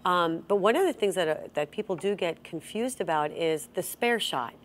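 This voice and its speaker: A middle-aged woman with a lowish voice leads a bowling lesson as host. She explains things step by step and prompts her co-host with guiding questions. She sits at a table in a bowling center. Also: lively intonation, normal speed, a little hiss or hum. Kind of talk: instructional chat.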